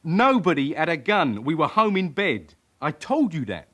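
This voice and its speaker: A surly London tearaway of twenty-one, cocky and insolent. His voice sits fairly high and swings expressively as he argues back. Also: sing-song, moderate pace, fairly bright tone. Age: young adult. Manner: defiant, insolent, argumentative. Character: cocky, surly 21-year-old London criminal. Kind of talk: radio drama acting.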